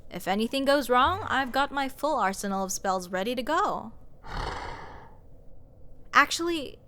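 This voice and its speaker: A woman's voice: a little high, animated, a little slow, bright, forceful tone, a little hiss or hum. A woman playing a snarky, exasperated sorceress in a fantasy audio roleplay, talking to the listener in a sarcastic, emphatic, conversational way. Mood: scoffing, nitpicking. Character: snarky young sorceress, exasperated, scoffing, nitpicking adventurer. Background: fantasy dungeon ambience.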